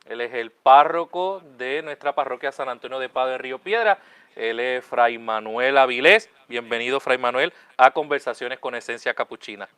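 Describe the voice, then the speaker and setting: A man's voice: mid-range voice, moderate pace, fairly bright tone, smooth, no echo. A man hosting a religious online show as a friar, often tagging phrases with a questioning check. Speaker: host, Capuchin friar.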